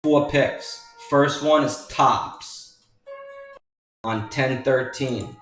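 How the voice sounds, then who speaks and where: Slow-talking man in an echoey room. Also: medium pitch, a bit bright. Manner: emphatic, repetitive, addressing viewers as folks. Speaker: stock trading educator and newsletter writer. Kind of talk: informal stock chart walkthrough. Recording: roomy echo, distant mic, faint music.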